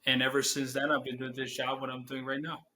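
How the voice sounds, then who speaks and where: A young man from Serbia with a Serbian accent, answering interview questions in English as a non-native speaker, pausing to search for words. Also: mid-range voice, completely flat delivery, sharp tone. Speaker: guest, business owner.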